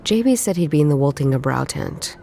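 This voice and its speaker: An actress voicing a sharp-witted, wry former detective who thinks aloud in a noir visual novel game. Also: female voice, low voice, animated, brisk, creaky, slight background noise. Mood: dry, wry. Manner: wry, musing, dry. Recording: voice under prominent background music. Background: music.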